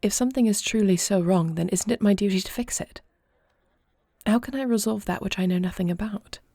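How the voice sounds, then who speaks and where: A woman playing an earnest young princess and war leader in an audio roleplay, speaking formally in solemn, measured phrases. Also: mid-range voice, dark tone, no room sound, clean studio sound, close to an everyday voice. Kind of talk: scripted audio roleplay acting.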